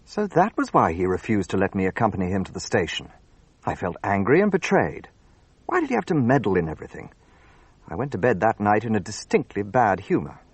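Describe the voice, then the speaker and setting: An actor playing an earnest, easily puzzled gentleman, the detective's companion, in a radio drama, with an eager manner. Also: on the low side, huge pitch swings, a little fast, slightly rough, no echo, full character voice. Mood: narrating, rueful. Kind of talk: radio drama acting and first-person narration.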